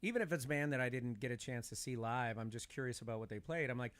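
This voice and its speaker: A man chatting casually as a longtime music fan, repeating words for emphasis in an animated, opinionated way. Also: mid-range voice, fast, dry recording. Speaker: podcast speaker. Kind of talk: casual chat about concerts as a fan.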